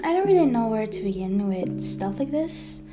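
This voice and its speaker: Young woman, high-pitched voice, hesitant storytime monologue, clear guitar music behind. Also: sing-song, normal speed. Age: young adult. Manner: hesitant, with frequent ums and self-corrections. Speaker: vlogger.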